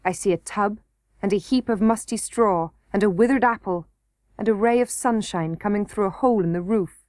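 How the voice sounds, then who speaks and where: A woman's voice: mid-range voice, normal speed, darkish tone, dry recording, clean studio sound, natural voice. A woman voicing a blunt, vexed young miner boy who speaks curtly and rudely. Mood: puzzled. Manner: curt and rude.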